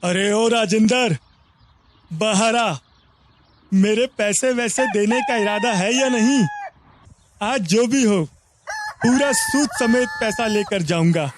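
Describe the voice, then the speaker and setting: Guy doing a mean greedy village lender for a cartoon, pretty high voice, bossy, demanding, bit of noise. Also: sing-song, slow pace, long pauses, heavily acted. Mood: threatening. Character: greedy, heartless village moneylender, boozy and bullying. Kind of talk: animated moral-story cartoon dialogue.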